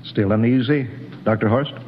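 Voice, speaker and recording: A man's voice: mid-range voice, moderate pace, natural voice. A man playing a cool, authoritative spaceship captain who gives clipped military orders, in 1950s radio drama style. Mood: firm, tense. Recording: noisy muffled 1950s radio recording.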